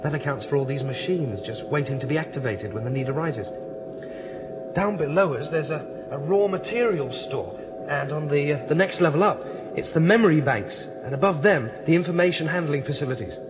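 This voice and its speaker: An actor playing a determined rebel, explaining methodically and earnestly in a radio drama. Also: male voice, a little high, expressive, fairly quick, over music, close to an everyday voice. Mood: intent, explaining. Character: determined male rebel planning to shut down a ruling computer. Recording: dull lo-fi recording, clear background noise.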